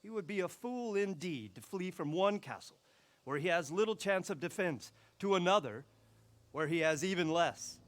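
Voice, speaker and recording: An actor playing a courteous, shrewd castle lord in a video game, speaking in a measured, drily ironic way. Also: male voice, high voice, lively intonation, a bit bright. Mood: courteous, guarded. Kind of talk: scripted video game cutscene acting.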